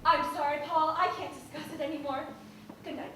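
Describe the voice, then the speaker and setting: A woman's voice: high-pitched, fairly even intonation, fairly quick, a bit bright, heavy reverb, recorded from a distance. A woman acting an impulsive young newlywed wife on stage, tearful and laughing through her outbursts. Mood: resolute.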